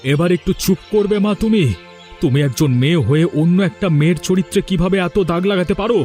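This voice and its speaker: A man playing an earnest, protective son and husband in an animated family drama. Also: high voice, quick pace, faint music behind, natural voice. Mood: reproachful.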